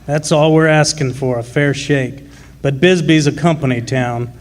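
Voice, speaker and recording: A man plays a gruff union miner in a radio play, speaking in folksy working-class phrases. His pitch stays level and narrow, proud and plainspoken. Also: steady tone, normal speed, close to an everyday voice. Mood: earnest, persuasive. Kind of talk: live original radio drama.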